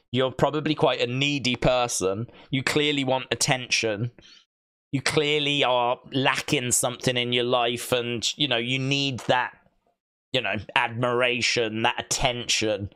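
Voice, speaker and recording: A male livestream host talks in a loose, rambling way, as in a casual watch-along. He has a mid-pitched voice and drops in joking, deadpan asides as he chats with his viewers. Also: normal speed, smooth.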